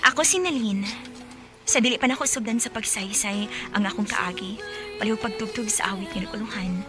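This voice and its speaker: A woman's voice: medium pitch, brisk, over music, close to an everyday voice. An actress playing a reserved young working woman in a radio drama, with a Cebuano accent. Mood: earnest. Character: Nalin, young working woman, reserved girlfriend telling her story.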